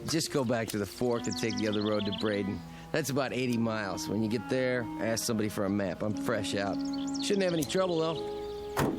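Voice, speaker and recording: An actor playing a folksy, guarded, laconic small-town gas station attendant in a radio drama. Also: male voice, lowish voice, animated, a little fast, loud music behind the voice, lightly acted.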